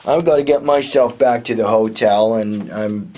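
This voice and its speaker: A man's voice: on the low side, moderate pace, dark tone, close to an everyday voice. An actor playing a defensive man accused by a podcaster, stammering and restarting as he protests. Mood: outraged. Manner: stammering, indignant, keeps restarting. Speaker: suspect. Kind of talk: scripted comedy audio drama.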